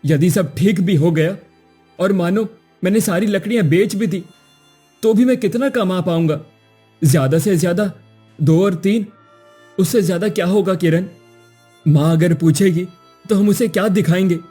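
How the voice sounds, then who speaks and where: Woman playing a young woodcutter, husky, mellow, pleading, cartoon husband. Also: on the low side, unhurried, long pauses, faint music behind. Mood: despairing. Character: poor young woodcutter husband, weary and despairing. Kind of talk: cartoon voice acting.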